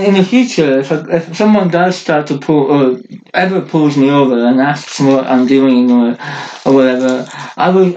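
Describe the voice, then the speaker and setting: A man's voice: medium pitch, a little mellow, muffled recording. A man, a podcast co-host, chatting casually in a rambling, joking way with many restarts. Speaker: podcast co-host, multisport athlete. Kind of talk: casual chat.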